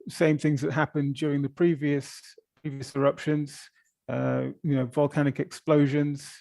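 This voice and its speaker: A man's voice: on the high side, level tone, normal speed, vocal fry, mellow, no room sound. A man speaking as an expert at a press conference, explaining things off the cuff in a hesitant way with repeated words. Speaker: volcano observatory director answering press questions. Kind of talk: spontaneous press conference answers.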